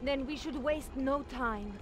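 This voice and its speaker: A woman's voice: high voice, a little slow, background noise. A woman voicing a spirited, proud young desert fighter in a video game, firm and dramatic. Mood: solemn.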